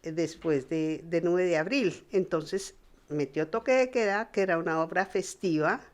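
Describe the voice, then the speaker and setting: A woman's voice: lowish voice, moderate pace. A woman explaining conversationally, adding her thoughts piece by piece.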